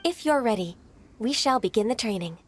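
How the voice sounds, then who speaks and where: A woman playing a gentle, reserved young half-human immortal in a video game, polite and earnest. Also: a little high, animated, fairly quick, breathy edge. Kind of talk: video game character acting.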